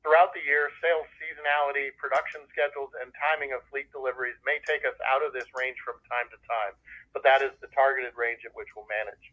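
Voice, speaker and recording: Husky businessman on a phone line. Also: medium pitch, fairly even intonation, brisk. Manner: formal, businesslike, reading prepared remarks. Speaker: corporate finance executive presenting results. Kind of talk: scripted earnings call presentation. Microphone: telephone conference call. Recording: muffled, narrowband telephone conference line.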